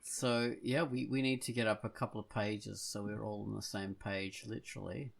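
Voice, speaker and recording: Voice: medium pitch, flat delivery, normal speed, vocal fry. A podcast co-host chatting casually, with a hesitant, drifting delivery.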